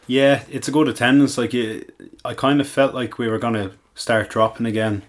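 A man with an Irish accent co-hosting a casual podcast, relaxed and a little hesitant, often restarting his sentences. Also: medium pitch, normal speed. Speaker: guest co-host. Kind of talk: casual chat.

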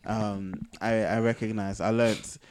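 A man with a fairly low voice talks casually as a podcast co-host. He explains himself reflectively, stopping and rephrasing as he works through his point. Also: steady tone, unhurried, darkish tone. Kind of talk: casual conversational podcast chat.

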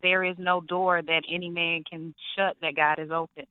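Casting note: young actress, animated storyteller, smooth voice on a tinny phone line. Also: female voice, lowish voice, normal speed, dry recording. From Atlanta, Georgia. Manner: lively storytelling, laughs often. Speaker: actress, interview guest. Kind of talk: interview on the phone.

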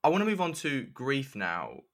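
A man's voice: medium pitch, wildly swooping pitch, moderate pace. A man asking questions conversationally as a podcast host. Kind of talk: conversational interviewing.

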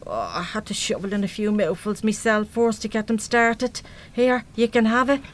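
A woman's voice: medium pitch, moderate pace, close to an everyday voice. A woman playing a brash, blunt Irish mother. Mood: wry, grumbling. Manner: blunt, colloquial, mildly sweary. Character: brash, uneducated, big-hearted working-class mother, blunt and salty. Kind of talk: live radio play acting.

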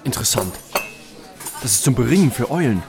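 An actor playing an eccentric, scholarly owl expert in an audio drama, muttering pedantically and hesitantly over details. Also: male voice, medium pitch, big pitch swings, fairly quick, roomy sound, heavy background noise. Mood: absorbed, pedantic. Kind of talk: German audio drama acting.

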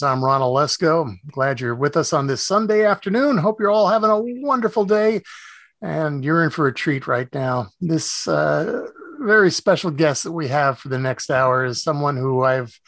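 A man hosting a conversational radio interview, speaking in halting phrases. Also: a little high, animated, fairly bright tone, dry recording, studio quality. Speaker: radio show host.

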